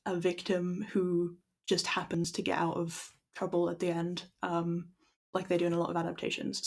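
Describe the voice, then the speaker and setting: A young Irish woman with a croaky voice, chatting casually to her stream and then reading a classic story aloud in a steady, measured way. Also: fairly low pitch. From Ireland. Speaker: livestream book reader.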